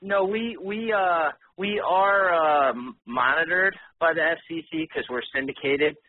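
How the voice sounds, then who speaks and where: A man's voice: on the high side, a little slow, dry recording. A man who hosts a radio show, chatting casually and bluntly as an interview guest. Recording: tinny phone-like line.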